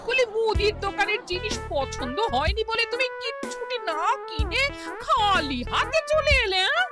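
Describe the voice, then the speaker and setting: Shrill-high nagging cartoon housewife. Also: female voice, big pitch swings, moderate pace. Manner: scolding, theatrical. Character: nagging, sceptical housewife scolding her husband. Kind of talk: comic cartoon acting. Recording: music behind the voice.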